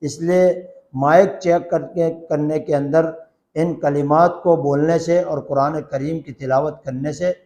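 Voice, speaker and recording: Higher-pitched man giving a religious lesson. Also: steady tone, unhurried, warm tone. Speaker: Islamic cleric answering a religious question. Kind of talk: religious lesson, formal explanatory address.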